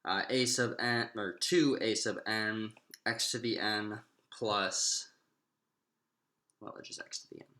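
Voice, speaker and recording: A man's voice: fairly low pitch, fairly even intonation, slow, bright, forceful tone. A man giving an informal math lecture, explaining steps aloud and posing questions to himself as he works. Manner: explanatory, thinking aloud with self-posed questions. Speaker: math instructor. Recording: echoey, roomy sound.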